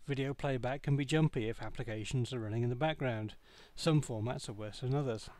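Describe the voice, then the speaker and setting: A man's voice: medium pitch, fairly quick, darkish tone, dry recording. Man narrating a review, dry close sound. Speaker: product reviewer.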